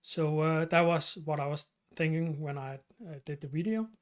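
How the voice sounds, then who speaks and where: A man from Denmark with a Danish accent hosts his channel's live stream Q&A. He talks in a halting way, with many fillers and restarts. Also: on the high side, fairly even intonation. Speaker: channel host.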